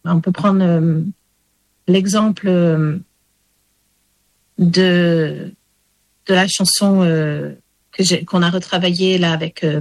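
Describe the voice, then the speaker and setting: A Welsh-raised woman whose first language is English, a storyteller answering interview questions in French with many pauses and fillers. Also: on the low side, dry recording. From Wales. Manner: hesitant, many fillers and restarts. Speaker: storyteller guest. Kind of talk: radio interview.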